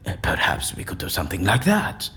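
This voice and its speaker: Pompous, theatrical eccentric with swooping pitch. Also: male voice, brisk, full character voice. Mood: chatty, whimsical. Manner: florid, pompous, animated. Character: eccentric, theatrical, erudite man, convinced his interrogation is staged. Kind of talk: audio drama acting.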